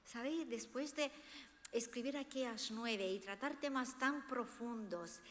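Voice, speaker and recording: A woman whose native language is Romanian speaks in a fairly high voice, with long reverb from the hall around her. She is earnest and expressive, sharing a personal talk as an author addressing an audience. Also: a little slow, slight background noise. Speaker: author presenting her book. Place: hall of a cultural institution, before a seated audience.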